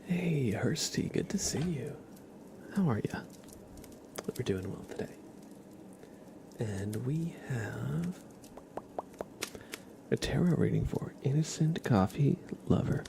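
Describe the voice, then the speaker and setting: A man hosting an ASMR stream, speaking softly and often in a whisper, gently and casually as if chatting with viewers. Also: mid-range voice, lively intonation, slow, creaky, long pauses, background noise. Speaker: ASMR livestream host. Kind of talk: ASMR, soft-spoken and whispered.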